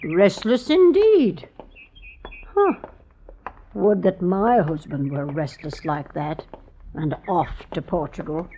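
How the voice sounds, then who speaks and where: A nosy neighbour woman, sly and sing-song. She speaks slowly in mock-archaic phrases with lively swings of pitch. The vintage recording is dull. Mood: sly, envious. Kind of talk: 1950s radio drama acting. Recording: dull, noisy old radio recording.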